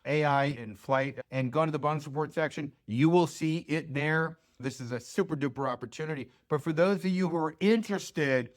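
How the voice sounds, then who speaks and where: Male American financial host, slightly higher register, conversational and emphatic investment pitch. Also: normal speed, few pauses. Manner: conversational, emphatic, rhetorical questions and check-ins. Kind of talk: informal investment pitch to camera.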